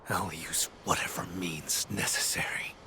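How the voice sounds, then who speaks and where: An actor voicing a brooding, determined male investigator in a video game, deliberate and grim in manner. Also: low voice, fairly even intonation, pushed and bright, in a whisper, exaggerated voice. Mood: grimly resolute.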